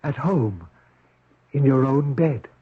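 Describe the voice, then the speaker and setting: An actor playing a supernatural giant servant, formal, measured and deferential, in a 1970s radio drama. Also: male voice, mid-range voice, lively intonation, slight background noise. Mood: politely attentive. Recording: roomy, muffled 1970s radio broadcast.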